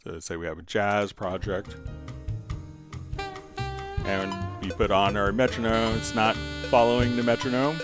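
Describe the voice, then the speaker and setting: Low-pitched man giving a software tutorial. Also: flat delivery, normal speed, pauses often, music in the background. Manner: talks through each step as he works. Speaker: host answering viewer questions on a software tutorial stream. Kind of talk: casual software demonstration.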